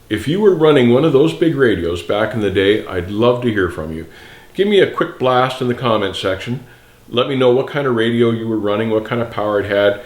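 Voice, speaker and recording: A middle-aged man, a veteran trucker, reminiscing casually and nostalgically to viewers in a plain-spoken, folksy way. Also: on the low side. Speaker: veteran trucker and video host. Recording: roomy, echoey sound.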